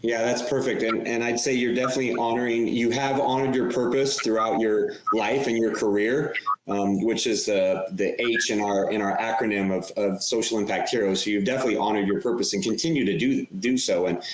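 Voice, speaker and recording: Male podcast host, lowish voice, rambling questions, echoey room, faint hiss. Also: steady tone, brisk. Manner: rambling, restarts questions, many fillers. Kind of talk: interview questions, conversational.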